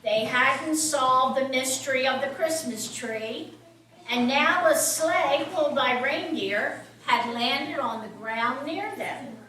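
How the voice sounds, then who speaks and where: A woman's voice: high voice, slow pace, pushed and bright. A woman narrating a scripted children's story. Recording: echoey, distant, music behind.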